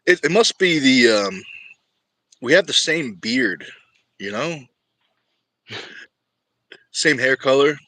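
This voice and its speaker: A man's voice: medium pitch, expressive, slow pace, long pauses, bright, forceful tone, dry recording. An easygoing man hosting a casual livestream and chatting with viewers about his sport. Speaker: livestream host. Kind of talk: casual livestream chat about arm wrestling.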